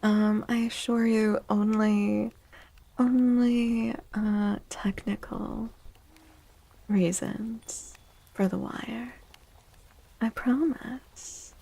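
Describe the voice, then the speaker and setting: A woman livestreamer chatting and reading tarot in a soft-spoken ASMR style, playful, teasing and mock-dramatic. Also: a little high, fairly even intonation, drawn-out words, creaky, long pauses.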